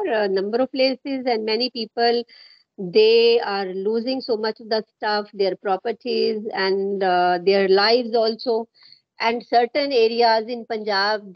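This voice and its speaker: High-pitched middle-aged Indian woman, muffled call. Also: no room sound. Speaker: host, English-speaking coach. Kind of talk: encouraging conversation with a learner. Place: small room at home, indoors. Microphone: wired earphone headset on video call. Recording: muffled video-call audio.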